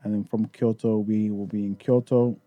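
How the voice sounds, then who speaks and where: A man's voice: fairly low pitch, flat delivery, moderate pace, no room sound, narrow, tinny audio. A man raised in the UK, talking casually as a podcast guest about touring in Japan, with many restarts and fillers. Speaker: guest, Japan tour organizer.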